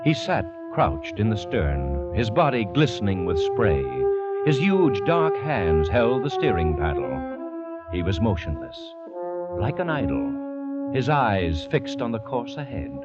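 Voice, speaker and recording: A grave storyteller narrates a vintage radio play, slowly and with hugely swooping, theatrical intonation. Brass-led music plays behind the dull old recording. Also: male voice, on the low side, wildly swooping pitch. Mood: ominous. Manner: measured, ominous, theatrical. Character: grave storyteller of an adventure tale. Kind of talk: dramatic scripted radio narration. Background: orchestral music with brass.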